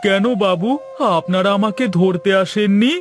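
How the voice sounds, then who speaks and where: A timid cartoon sweet-shop keeper acted by a man, with a very high voice for a man. He sounds anxious and humble, pleading deferentially over light background music. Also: fairly even intonation, moderate pace, lightly acted. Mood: anxious, aggrieved. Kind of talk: animated cartoon voice acting in Bengali moral story.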